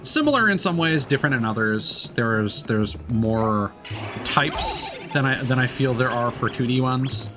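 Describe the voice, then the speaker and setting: A man's voice: medium pitch, animated, a little slow. A man streaming a video game, thinking aloud in casual, rambling commentary while he plays. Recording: game music behind the voice. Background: video game music.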